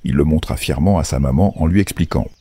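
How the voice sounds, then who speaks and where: A male storyteller narrates a children's fairy tale from a script. His voice is deep, soft-edged and dark, with a husky grain. The delivery is measured, with a playful, wry touch. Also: dry recording.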